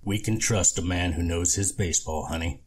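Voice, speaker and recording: A man voicing a retired, baseball-loving husband in a storybook reading, plain-spoken, gruff and sentimental. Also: deep voice, fairly even intonation, normal speed, a little mellow, a little hiss or hum, close to an everyday voice. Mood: fond, trusting.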